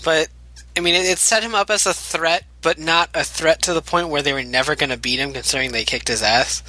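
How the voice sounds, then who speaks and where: A man's voice: a little high, fairly even intonation, brisk, pushed and bright, poor audio. A young man in casual podcast chat, chatty, with lots of fillers and frequent laughter.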